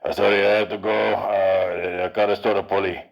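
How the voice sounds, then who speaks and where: A man's voice: deep voice, flat delivery, brisk, vocal fry, highs cut off, pitched below the performer's own voice. A man putting on a flustered Greek diner caller. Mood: flustered, rambling. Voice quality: hesitant, stumbling, full of "uh".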